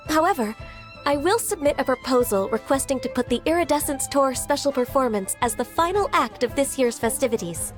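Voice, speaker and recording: A woman voicing a dutiful, soft-spoken young official who speaks in a formal, measured way in a video game. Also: high-pitched, expressive, soft background music.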